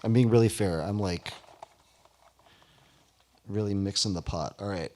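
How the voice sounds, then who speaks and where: A man's voice: fairly low pitch, measured pace, mellow, a little hiss or hum. A man hosting a live ceremony in a casual, informal way with playful banter. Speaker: event host. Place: live awards ceremony before an audience.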